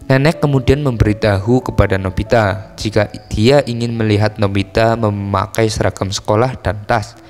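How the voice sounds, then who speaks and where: An Indonesian man narrates a story from a script in a casual, colloquial way, slipping into teasing asides. His voice sits at an average pitch. It rings with long reverb, with music playing underneath. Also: darkish tone. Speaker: film recap narrator.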